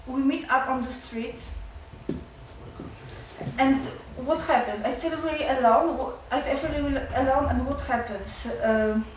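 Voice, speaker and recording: A young woman with a Polish accent, a student giving nervous, halting remarks at a seminar with many restarts. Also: high-pitched, very echoey, recorded from a distance, a little hiss or hum, low-quality recording. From Poland. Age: young adult. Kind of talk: nervous public remarks in a second language.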